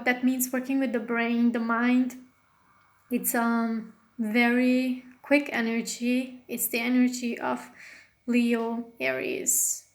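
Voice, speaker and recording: A woman from Romania, an astrologer, talking casually in a rambling way with many fillers and non-native English phrasing. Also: fairly high pitch. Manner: rambling, with frequent fillers and self-corrections. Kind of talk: casual personal monologue.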